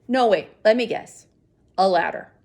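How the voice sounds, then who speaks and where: A woman's voice: medium pitch, moderate pace, gravelly, a little mellow, natural voice. A woman voicing a proud, skeptical male animal sidekick in a children's story, with a dismissive, sniffy manner.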